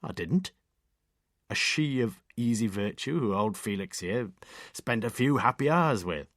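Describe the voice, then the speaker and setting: A man's voice: lowish voice, lively intonation, measured pace, no room sound. A man doing a lofty, sardonic middle-aged inspector, clipped, dry close sound.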